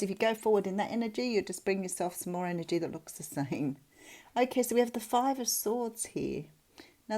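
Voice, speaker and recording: A woman's voice: lowish voice, dark tone. An Australian woman giving a casual tarot reading in a chatty, reflective, conversational way. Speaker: tarot reader, channel host.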